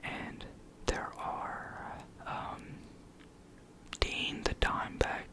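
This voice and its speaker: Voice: extremely slow, bright, forceful tone. A person whispering an informal ASMR guitar lesson, hesitating and repeating key words. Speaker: guitar explainer.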